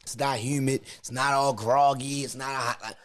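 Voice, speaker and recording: A man's voice: mid-range voice, steady tone, moderate pace. A young man with a Baltimore accent hosting a podcast, loose and slangy as he chats. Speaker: podcast host. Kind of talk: casual podcast chat.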